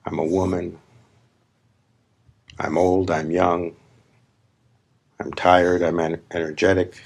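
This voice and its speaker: A man leading a guided meditation as a spiritual teacher, speaking in deliberate, emphatic, repeated phrases with long pauses. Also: low voice, flat delivery, creaky. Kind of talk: slow guided meditation talk. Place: live gathering.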